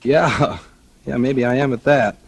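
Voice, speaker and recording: An actor with an American accent playing a casual, calculating, scheming husband in a 1940s radio thriller. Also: male voice, medium pitch, unhurried, gravelly, slightly rough, no echo. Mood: falsely solicitous. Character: smooth-talking, scheming husband plotting murder. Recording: noisy old radio recording.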